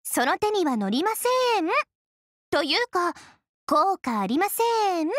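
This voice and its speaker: A woman's voice: very high-pitched, big pitch swings, a little slow, fairly bright tone, no room sound, clean studio sound, full character voice. A woman voicing a devilish young female AI in an anime game, coy and polite with a mock-sweet, sinister edge. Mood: teasing, smug. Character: teasing, devilish young female moon super AI, sweetly menacing. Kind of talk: Japanese anime game voice acting.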